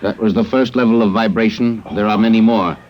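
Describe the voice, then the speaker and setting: A man playing a cold, logical male alien captor in a 1950s radio drama, speaking in flat, clipped, mechanical sentences, heard on a muffled vintage broadcast recording. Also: on the low side, a little fast, a little hiss or hum, lightly acted.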